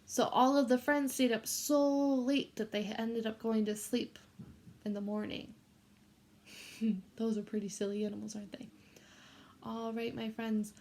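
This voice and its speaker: A woman reading a picture book aloud to young children in an expressive way, pausing to ask them questions. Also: a little high, a little hiss or hum. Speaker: children's storybook read-aloud host.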